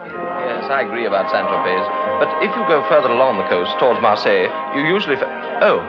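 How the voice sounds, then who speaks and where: An actor playing a courteous, slightly theatrical gentleman with a polite, mannered delivery in a vintage radio drama. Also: medium pitch, very animated, fairly quick, close to an everyday voice. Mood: genial, polite. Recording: dull vintage radio recording with music.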